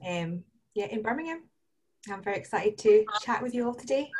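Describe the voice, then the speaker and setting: A woman's voice: mid-range voice, animated, fairly quick, darkish tone. A woman who grew up in Scotland joins a podcast panel and talks casually. She rambles, leaning on "like" and restarting her sentences as she thinks aloud. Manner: chatty, rambling, many "like"s. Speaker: panel guest. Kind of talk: casual conversation.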